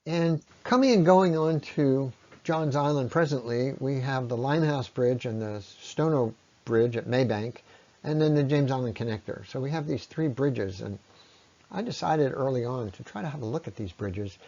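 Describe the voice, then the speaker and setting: A man narrating an informal slide presentation in an explanatory way, with halting pauses. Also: mid-range voice, a little mellow, highs cut off. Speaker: presenter on local ecology.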